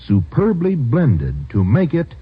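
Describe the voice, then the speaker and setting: A man's voice: mid-range voice, huge pitch swings, slow pace, husky. A man reading a 1950s radio commercial as an emphatic announcer and salesman. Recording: dull old radio recording.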